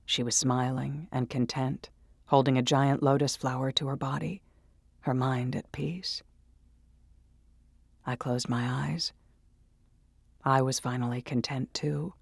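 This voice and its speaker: A woman reading a first-person horror story in a hushed, dreamy way that slips into whispers. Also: a bass voice, steady tone, slow pace, gravelly, pauses often, husky, no room sound. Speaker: narrator. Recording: soft music behind.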